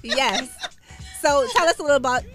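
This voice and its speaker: A woman co-hosting an interview, asking relaxed questions and giving short replies. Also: high voice, normal speed, soft background music. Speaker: co-host.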